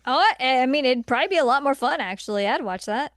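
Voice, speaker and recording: Higher-pitched woman chatting casually on a podcast. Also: lively intonation, fast talker, no room sound, studio quality. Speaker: podcast co-host. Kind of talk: casual chat, film ranking discussion.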